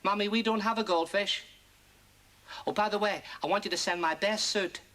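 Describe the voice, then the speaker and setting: A comedian man doing a stand-up routine as a pretend phone call to his mother, firing off quick one-liners. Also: high-pitched, pushed and bright.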